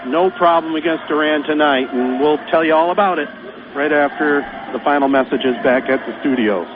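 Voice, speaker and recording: Michigan guy doing radio basketball play-by-play, kinda high voice, tinny phone line w/ hiss. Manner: brisk, running call of the action. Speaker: play-by-play announcer.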